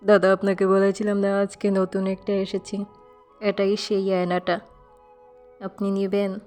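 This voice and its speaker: A woman's voice: mid-range voice, completely flat delivery, a little slow, darkish tone, faint music behind, lightly acted. A woman voicing a polite, accommodating male shopkeeper with courteous sales talk for a cartoon.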